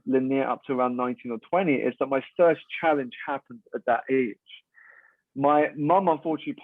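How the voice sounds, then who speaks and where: A man, a guest speaker and coach, telling his story in a measured, articulate and reflective way. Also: a little high, no echo. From United Kingdom. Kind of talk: calm interview storytelling. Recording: dry tinny phone-like line.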